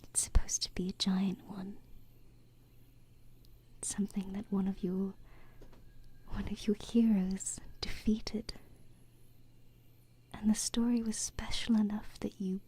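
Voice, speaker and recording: A woman whispering softly and intimately into a close binaural microphone in an ASMR roleplay as a gentle, adoring alien princess, with hesitant pauses. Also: mid-range voice, level tone, a little hiss or hum. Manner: soft, slow, hesitant phrasing with pauses.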